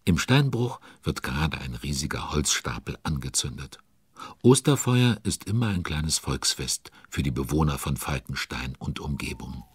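A man narrating a children's audio drama as a chatty, involved storyteller with conspiratorial asides and a husky voice. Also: very deep voice, big pitch swings, normal speed, no room sound, studio quality, exaggerated voice. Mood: involved, storytelling.

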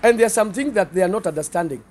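A middle-aged man with a Kenyan accent, a pastor speaking earnestly and haltingly in an interview, pausing and repeating phrases. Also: sits high, lively intonation, darkish tone. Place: seated indoors in a small studio set. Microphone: handheld interview microphones held by the interviewer.

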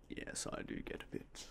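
A young man whispering in a polite, unhurried way as a game store clerk in an ASMR roleplay, close to a binaural mic. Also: background noise. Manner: soft, polite, unhurried shopkeeper talk with fillers. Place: indoors in front of a game store backdrop. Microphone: binaural mic close to the face.

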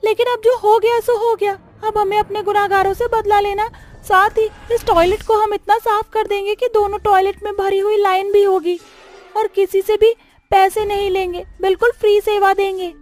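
A woman voicing an earnest, indignant young witch ghost in a horror cartoon, shrill and dramatic. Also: little pitch movement, normal speed, darkish tone. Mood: resolute. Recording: music behind the voice.

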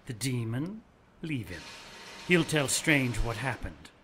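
Cold, commanding zealot, noisy background. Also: male voice, mid-range voice, sing-song, takes it slowly, a bit bright. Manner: clipped, imperious. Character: cold, commanding religious agent from the Vatican, villainous. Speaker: actor in radio drama. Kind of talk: radio drama acting. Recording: noisy vehicle ambience.

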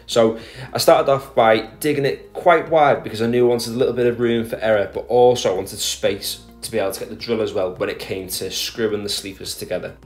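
A man's voice: lowish voice, fairly even intonation, brisk, faint music behind. A man narrating a voice-over about his garden project, explaining his steps in a plain, methodical way with speech and small restarts. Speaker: garden DIY vlogger.

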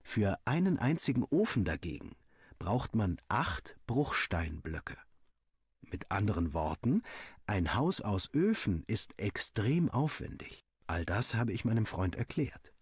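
A man reading a first-person story as a narrator, acting out the dialogue in an animated way. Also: fairly low pitch, a little slow, slightly rough, no echo, studio quality. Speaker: audiobook reader.

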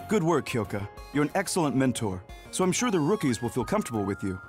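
An actor playing a kindly, courteous major general in an anime game, gracious and encouraging. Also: male voice, medium pitch, very animated, quick pace, music in the background, lightly acted. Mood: kindly, reassuring. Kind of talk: anime video game dub acting.

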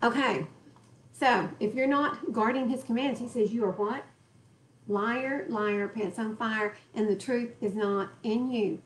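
A woman's voice: on the high side, measured pace. A woman leading a home Bible study, stressing words and joking with the group, recorded by a camera in a living room. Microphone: far camera in the room.

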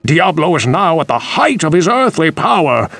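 An actor playing a grave fantasy village elder in a video game, warning of doom in a measured, theatrical way. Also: male voice, fairly high pitch, very animated, vocal fry, fairly bright tone, no room sound, faint music behind, full character voice. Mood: grave, fearful. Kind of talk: dramatic video game dialogue.

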